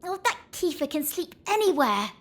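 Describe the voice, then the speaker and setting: A woman's voice: sits high, big pitch swings, normal speed, a bit bright. A woman voicing a bossy, teasing young girl adventurer who covers homesickness with bravado in a video game. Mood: chatty, snide.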